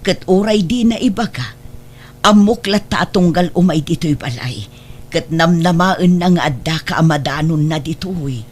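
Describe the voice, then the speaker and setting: A woman's voice: fairly low pitch, normal speed, lightly acted. A woman playing a doting, forgiving older mother and grandmother in an audio drama, speaking in a reassuring, motherly way. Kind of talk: melodramatic audio drama acting.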